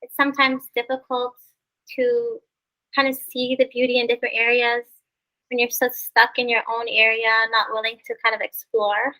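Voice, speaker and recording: A woman's voice: fairly high pitch, level tone, moderate pace, bright, forceful tone, rough recording. A Canadian woman hosting an interview podcast, chatty and friendly, often saying "you know" and "like.". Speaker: podcast host.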